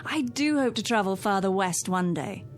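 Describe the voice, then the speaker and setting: A woman's voice: mid-range voice, animated, moderate pace, faint music behind, lightly acted. An actress in a radio drama playing a gracious, proper woman passenger. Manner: polite, proper. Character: genteel, gracious British woman passenger, independent and polite.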